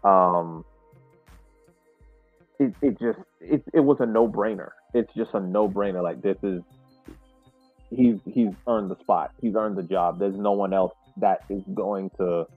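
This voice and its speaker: A man hosting a casual soccer podcast discussion, speaking haltingly and repeating words as he reflects. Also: low-pitched, soft background music. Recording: muffled, roomy sound.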